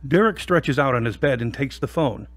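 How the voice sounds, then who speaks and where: A man narrating an audio drama from a script. His voice is husky, at an ordinary male pitch. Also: lively intonation, normal speed, a bit bright, faint music behind.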